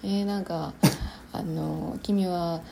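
A woman's voice: fairly low pitch, steady tone, very slow, vocal fry, dark tone, slight background noise. A woman chatting casually and telling personal anecdotes in a self-deprecating way, as a co-host of a show. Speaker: amateur drama group member co-hosting an off-talk show.

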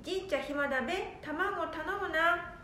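An echoey, slightly noisy radio play recording of a woman acting a busy old grandmother. Her voice is high and brisk, in the Nanbu dialect of northeastern Japan. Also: bright, forceful tone. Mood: brisk, bossy. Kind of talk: amateur radio drama acting. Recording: echoey room, faint noise.

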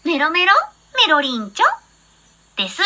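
Comedy skit: young policewoman, played by a woman, very high and bright, theatrical, coy, mock-stern. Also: huge pitch swings, measured pace, exaggerated voice. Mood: playfully stern. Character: cute young policewoman questioning a tipsy man, playfully stern. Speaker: voice creator. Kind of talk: comedic audio skit acting.

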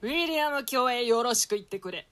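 A woman's voice: fairly high pitch, expressive, fairly quick, a bit bright. A woman performing a sly, ruthless duke who plots for power, in a theatrical Shakespeare reading. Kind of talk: theatrical Shakespeare reading in archaic Japanese translation.

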